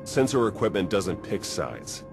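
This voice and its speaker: A deep male voice with music clearly behind it, a blunt military commander in a game. He is pragmatic and dry, in clipped, no-nonsense phrases. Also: big pitch swings, measured pace, exaggerated voice. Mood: brusque, dry. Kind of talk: video game voice acting.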